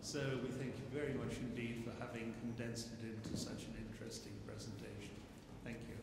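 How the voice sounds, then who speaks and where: A man chairing a conference session, thanking speakers and introducing the next one in a courteous, halting way with many uhs. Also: medium pitch, little pitch movement. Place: large lecture hall. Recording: distant mic in echoey hall, loud noise.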